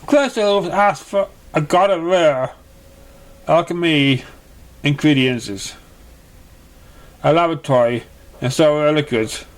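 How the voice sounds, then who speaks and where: A man reads fantasy fiction aloud as narrator, at a slow pace. His voice sits slightly high for a man, and his amateur delivery is halting, with stumbles over words. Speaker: story narrator.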